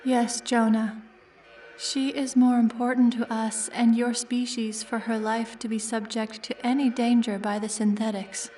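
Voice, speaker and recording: Woman as a flat-toned, formal female android. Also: a little high, recorded from a distance, natural voice. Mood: calm, guarded. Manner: measured, formal, detached. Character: calm, polite female synthetic android, guarded and formal. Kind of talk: sci-fi audio drama acting. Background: music.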